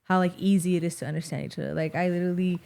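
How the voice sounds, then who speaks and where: A woman's voice: on the low side, fairly even intonation, rapid-fire, creaky, dark tone. A young woman with a Mexican American accent, a podcast guest talking casually in a rambling way, full of "like". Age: young adult. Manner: rambling, heavy use of "like". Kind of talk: casual interview chat. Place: small studio set with wooden tables. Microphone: boom-mounted studio mic.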